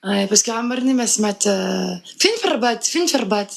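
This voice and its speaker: A woman's voice: mid-range voice, animated, rapid-fire, gravelly. A woman in her twenties from Switzerland chatting playfully on a live stream and slipping between French and Arabic. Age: 20s. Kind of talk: casual live chat.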